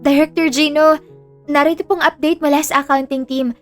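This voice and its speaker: A woman's voice: sits high, steady tone, moderate pace, faint music behind, natural voice. A woman playing a respectful, businesslike head nurse reporting formally in an audio drama. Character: female head nurse, dutiful, respectful assistant.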